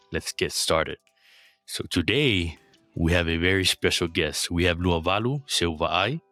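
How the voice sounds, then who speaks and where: A man hosting a business interview podcast, reading a prepared introduction and sponsor lines at a steady pace before asking questions. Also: low voice, dry recording. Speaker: podcast host.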